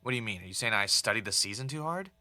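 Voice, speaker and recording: A man's voice: on the low side, lively intonation, racing, sharp tone, no echo. A man playing an earnest, doubtful podcast host in a scripted audio drama. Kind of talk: fictional podcast narration and conversation.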